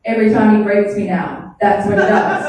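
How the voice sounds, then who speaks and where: A woman's voice: medium pitch, fairly even intonation, moderate pace, very noisy. A woman doing a rambling, crude, self-deprecating stand-up set, often laughing at her own jokes. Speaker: stand-up comedian. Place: small comedy club stage. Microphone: handheld stage mic, filmed from the audience. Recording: distant, echoey club room with faint ambience.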